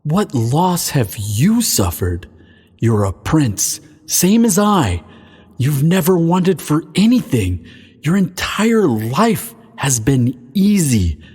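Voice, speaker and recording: A man's voice: on the high side, very animated, slow pace, darkish tone, breathy edge, heavily acted. A man playing a proud, indignant elder crown prince in a fantasy audio drama, speaking formally and haughtily.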